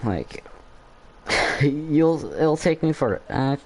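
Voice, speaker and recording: A man doing casual, halting, informal commentary over a video game he is playing. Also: a little high, lively intonation, rough recording. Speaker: gaming video creator.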